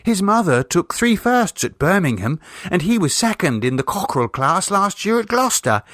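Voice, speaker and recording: Man playing teenage country-house girl, high lilting voice, blithe prattle, storybook character, close dry sound. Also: very animated, normal speed, fairly bright tone, dry recording. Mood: coaxing, blithe. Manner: breezy, matter-of-fact prattle.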